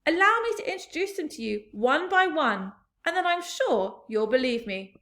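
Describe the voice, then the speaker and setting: A woman's voice: sits high, big pitch swings, normal speed, pushed and bright, voice pushed up, full character voice. A woman voicing a small, cheerful, friendly boy in a storybook reading.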